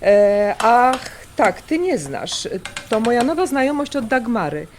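Radio drama: wistful, defensive woman artist, played by an actress, higher-pitched, sighing delivery, faint kitchen clatter behind. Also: big pitch swings, measured pace. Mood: chatty. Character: wistful, prickly artist, sensitive elder-daughter type. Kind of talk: radio drama dialogue, naturalistic. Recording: background noise of a kitchen scene. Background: kitchen clatter, pots and dishes.

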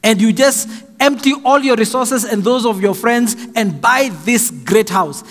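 A middle-aged Kenyan man preaching a slow, emphatic sermon from a pulpit stand mic in a large church hall. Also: very high-pitched, a bit bright. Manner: slow and emphatic, raising his voice for stress. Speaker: pastor. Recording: long hall reverb, faint music.

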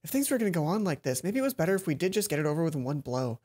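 A man's voice: fairly high pitch, big pitch swings, very fast, a little mellow, slightly rough. A young adult man reading visual novel narration as a let's play host, with casual commentary. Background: game music.

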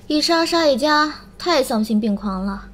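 A woman playing a sharp, chatty forensic examiner in an audio drama, conversational and expressive. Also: high-pitched, normal speed. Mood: curious, analytical. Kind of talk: Chinese audio drama acting.

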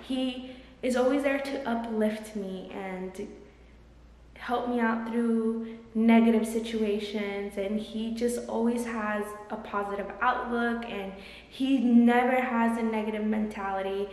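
A young woman talks effusively and chattily to her phone camera held at arm's length. Her voice sits a little high. The bare rooms around her give a heavy echo with a long tail. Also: steady tone, measured pace, darkish tone. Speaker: vlogger. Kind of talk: casual vlog chat. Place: large empty apartment, indoors. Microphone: handheld phone camera at arm's length. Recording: echoey empty room with long reverb.

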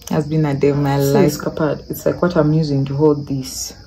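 Low-voiced chatty young woman vlogging. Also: little pitch movement, brisk, slight background noise, rough recording. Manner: chatty, laughing asides. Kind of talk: casual vlog narration. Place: campus cafeteria, salon, home room. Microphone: phone camera held at arm's length. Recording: loud music and crowd behind.

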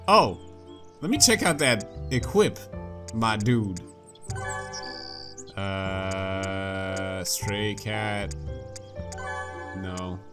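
A man's voice: on the low side, expressive, extremely slow, long pauses. A man giving casual, playful, slangy commentary as he plays a video game. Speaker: let's player. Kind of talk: casual game commentary, reading dialogue aloud. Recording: game music behind. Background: game music.

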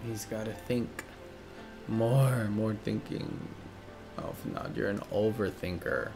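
A man's voice: on the low side, slow, gravelly. A man in his thirties giving offhand, joking reactions as a game streamer, in short muttered interjections. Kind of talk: casual reaction commentary. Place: indoor gaming room, seated. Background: game music.

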